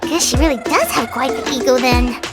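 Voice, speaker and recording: Squeaky, very high little fairy girl. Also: sing-song, moderate pace. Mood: indignant, huffy. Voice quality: squeaky, childlike. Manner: speaks of herself in third person, cheeky. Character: Paimon, small childlike girl fairy companion, perky, indignant. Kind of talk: English video game voice acting. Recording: loud game music underneath. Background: game background music.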